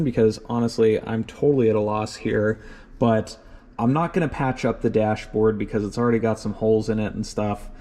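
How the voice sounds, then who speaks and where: A man's voice: medium pitch, dark tone. A man narrating a hands-on vlog in an informal way, thinking aloud as he examines things. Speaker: car owner and vlogger.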